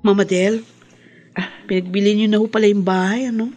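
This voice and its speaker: Actress as a chatty, cheerful Filipino best friend. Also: female voice, mid-range voice, steady tone, a little fast, music in the background, close to an everyday voice. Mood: friendly, cheerful. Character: cheerful, loyal young woman, best friend. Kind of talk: Filipino radio drama acting.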